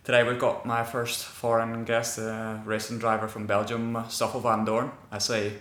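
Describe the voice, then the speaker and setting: A man's voice: medium pitch, completely flat delivery, moderate pace, a bit bright, reverberant. An Irish man hosting a podcast and asking questions in a chatty, informal way. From Ireland. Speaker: podcast host. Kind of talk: casual interview.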